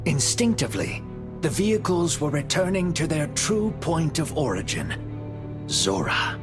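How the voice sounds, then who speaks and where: A man narrating a video game story in a solemn, measured, dramatic scripted style. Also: fairly high pitch, big pitch swings. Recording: music behind the voice. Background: background music.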